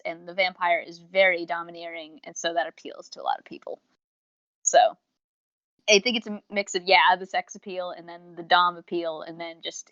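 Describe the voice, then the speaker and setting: A woman's voice: lowish voice, a bit bright, no room sound, dull audio. Emphatic, opinionated woman in very dry sound. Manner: opinionated, talks at length, emphatic. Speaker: podcast co-host. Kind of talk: casual review commentary.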